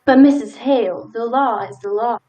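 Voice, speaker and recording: A woman's voice: a little high, normal speed, mellow, close to an everyday voice, rough recording. A woman in a radio play acting a timid, dutiful, law-abiding small-town wife with hesitant, folksy old-fashioned speech. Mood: nervous, hesitant.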